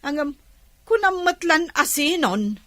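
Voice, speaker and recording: A woman playing a sour, meddlesome village auntie, sharp-tongued and scornful, in a comedy radio drama. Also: high voice, sing-song, a little slow, dry recording, exaggerated voice. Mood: indignant scolding.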